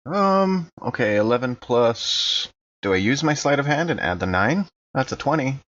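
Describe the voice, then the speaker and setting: A man's voice: mid-range voice, very animated, normal speed, fairly bright tone. A man chatting casually as a player in a tabletop game, thinking aloud in a tentative way, on a slightly dull recording.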